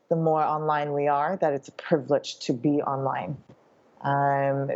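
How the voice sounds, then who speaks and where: A woman's voice: sits low, mellow. A woman born in Hong Kong and raised in Canada, giving thoughtful interview answers with many ums and uhs. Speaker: guest, founder of a company. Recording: slightly dull, lo-fi sound.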